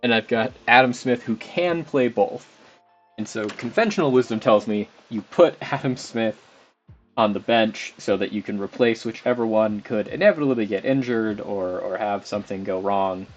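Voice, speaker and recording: A man's voice: lowish voice, moderate pace. A young man streaming a football management game, chatting casually and rambling as he talks to the players on screen. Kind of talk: casual live game commentary. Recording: slightly dull stream audio with background music.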